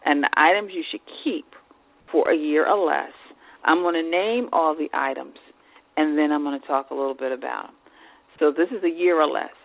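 A woman's voice: sits low, normal speed, gravelly. Congested woman on a tinny line. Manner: reads lists of tips with fillers. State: congested, nasal. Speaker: podcast host. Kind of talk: advice podcast talk.

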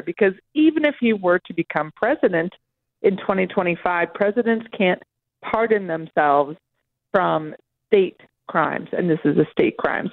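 A dry, band-limited phone line carries a woman's voice at a medium pitch. She is a reporter giving live interview answers, explaining things and often restarting her sentences. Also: no echo. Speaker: political reporter interviewed as guest.

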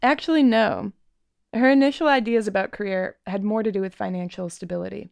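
A woman reporter giving scripted narration of a feature story in a measured storytelling manner. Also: medium pitch, gravelly, a little mellow, no room sound, clean studio sound.

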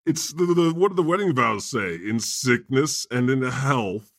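A man's voice: mid-range voice, lively intonation, measured pace, fairly bright tone, no room sound. Teasing male podcast host, dry sound. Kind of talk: casual joking chat.

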